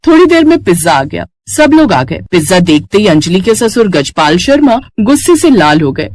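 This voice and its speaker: A woman's voice: lowish voice, big pitch swings, normal speed, darkish tone, dry recording. A woman reading scripted narration for a moral story.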